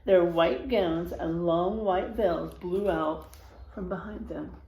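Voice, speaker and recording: A woman reading a children's story aloud expressively, pausing for asides to her young listeners. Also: on the low side, a little mellow, roomy sound, poor audio.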